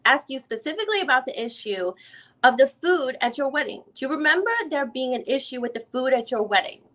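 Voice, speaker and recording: Woman reading as a higher-voiced, methodical female attorney. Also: lively intonation, brisk, fairly bright tone. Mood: probing, methodical. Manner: formal, methodical questioning. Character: probing female defense attorney questioning a witness. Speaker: reader in an online dramatic reading. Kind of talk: reading a deposition transcript aloud.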